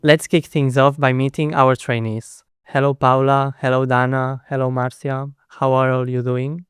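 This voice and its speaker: Young guy hosting a podcast, reads his intro steady, crisp voice. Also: mid-range voice, normal speed, no echo, studio quality, soft background music. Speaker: podcast host. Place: studio at an office headquarters.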